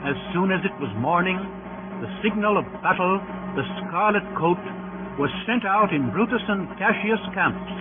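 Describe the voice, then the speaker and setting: Old-time radio narrator guy, high voice, formal and deliberate, muffled sound w music behind. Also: expressive, background noise. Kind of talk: 1930s radio scripted narration.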